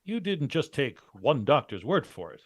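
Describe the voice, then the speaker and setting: A man acts out a businesslike leader of adventurers in a golden-age radio play. His mid-pitched voice swings expressively as he asks brisk, wry, probing questions. The sound is close and dry. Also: big pitch swings, breathy edge, no echo. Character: shrewd, businesslike male adventurer leading his partners. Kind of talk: vintage radio drama acting.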